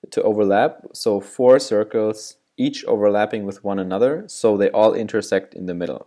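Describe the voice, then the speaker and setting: Young male vlogger, German first language, slightly low voice, easygoing and rambling. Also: dark tone. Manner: loose and rambling, restarts and asides. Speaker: video creator giving self-improvement advice. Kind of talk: casual explainer talking to camera.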